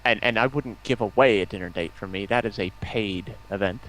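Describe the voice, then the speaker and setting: A man's voice: fairly low pitch, a little fast, fairly bright tone. A man chatting casually as a stream guest, with a cheeky, bantering manner. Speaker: stream guest, hobby video maker. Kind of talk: casual chat. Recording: clearly noisy home voice-chat line.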